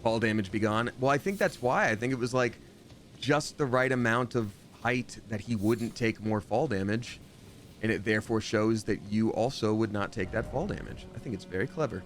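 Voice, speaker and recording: A man chatting casually and at length while streaming a video game, rambling along and jumping up in excitement. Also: fairly low pitch, moderate pace, slight background noise. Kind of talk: live gameplay commentary. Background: game music.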